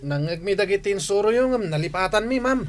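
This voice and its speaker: Scheming teenage schoolboy for audio drama, acted out; idle slacker, mid-pitched voice. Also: animated, fairly quick, lightly acted. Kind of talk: amateur audio drama acting.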